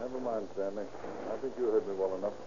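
An actor playing a shrewd, businesslike police captain with a pointed, authoritative manner, in 1930s radio drama style. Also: male voice, on the low side, steady tone, fast talker, natural voice. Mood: pressing, stern. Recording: muffled, noisy old radio recording.

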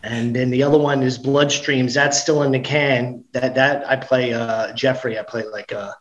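A man's voice: medium pitch, fairly even intonation, normal speed, dull audio. A middle-aged man, a guest actor and comedian, chatting casually about his projects in an upbeat way, often restarting his sentences. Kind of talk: casual podcast chat.

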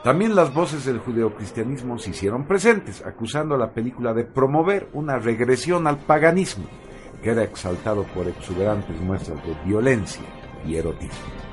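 A male radio host reading a scripted segment in an emphatic, expressive way, over background music. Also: mid-range voice, moderate pace.

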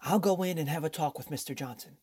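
A man's voice: on the high side, fast talker, a little mellow, slightly rough. An actor playing a tough, impatient young male lawyer in an old-time radio drama, speaking huskily and urgently.

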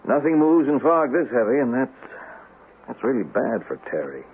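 An actor playing a calm but uneasy husband and loyal army friend in a tinny old-time radio drama. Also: male voice, mid-range voice, normal speed, breathy edge.

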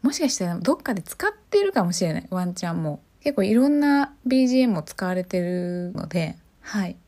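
A woman's voice: on the low side, sing-song, brisk, mellow. A woman hosting a podcast on her own, chatting casually and often putting questions to her listeners. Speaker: podcast host. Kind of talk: casual solo podcast chat.

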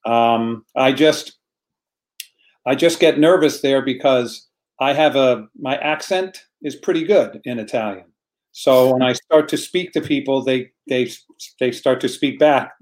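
A man's voice: mid-range voice, measured pace. An American man, a guest in a casual online chat, telling a story earnestly and repeating words as he searches for them. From United States.

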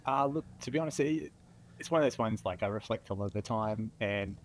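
A man from Tasmania with an Australian accent and a low voice talks in an interview. He is reflective and modest and uses plenty of fillers, with faint noise behind him. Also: brisk. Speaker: guest, veteran.

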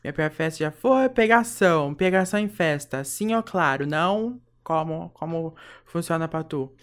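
Young woman, caipira accent, lower voice, blunt and emphatic, casual co-host. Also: animated, moderate pace. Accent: Brazilian caipira (São Paulo countryside). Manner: blunt, emphatic, repeats words for stress. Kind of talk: casual chat.

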